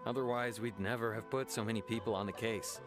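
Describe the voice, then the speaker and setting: An actor playing an earnest, stern young guard officer in an anime game, speaking in a measured, formal way. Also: male voice, lowish voice, fairly even intonation, close to an everyday voice. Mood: firm, explaining. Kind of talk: anime video game dialogue. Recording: music behind the dialogue.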